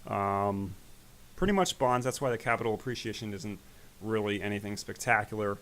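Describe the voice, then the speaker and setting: A man hosting an investing channel, talking casually through his stock portfolio in a rambling way, his voice growing raspy. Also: on the low side, normal speed, a little hiss or hum, rough recording. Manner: relaxed, rambling, with fillers. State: voice getting raspy. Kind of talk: casual screen-share stock commentary.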